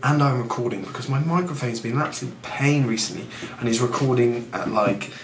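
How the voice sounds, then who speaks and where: A young man with a husky, fading voice, a fitness coach hosting a casual podcast who rambles, mocks himself and talks straight to the listener. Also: medium pitch, fairly quick. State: husky, losing his voice. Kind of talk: casual podcast talk, teaching. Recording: echoey room with faint background noise.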